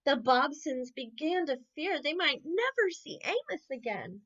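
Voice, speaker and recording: High, animated woman reading a picture book. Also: measured pace, dull audio. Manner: expressive, with exclamations and asides about the pictures. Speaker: camp unit head reading bedtime stories. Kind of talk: animated picture-book read-aloud for children.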